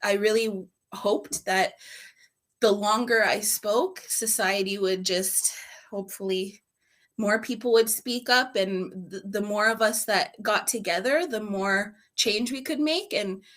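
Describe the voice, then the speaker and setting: Female panelist, mid-pitched, informal and reflective, halting personal testimony. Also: fairly bright tone. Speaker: panelist and drug-user advocate.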